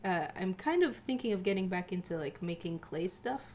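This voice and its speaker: A young woman co-hosting a casual podcast, bubbly and quick to giggle. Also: lowish voice, animated, darkish tone, a little hiss or hum. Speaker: podcast co-host.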